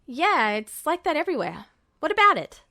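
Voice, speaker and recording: A woman's voice: high voice, big pitch swings, moderate pace, sharp tone, no echo, clean studio sound, voice pushed up, heavily acted. Cocky, blunt young man, read by a woman. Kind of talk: storybook character dialogue.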